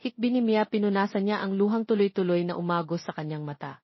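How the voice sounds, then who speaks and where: A woman's voice: medium pitch, fairly even intonation, fast, dry recording. A woman narrating a scripted romance story as its storyteller. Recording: dry, slightly dull studio sound.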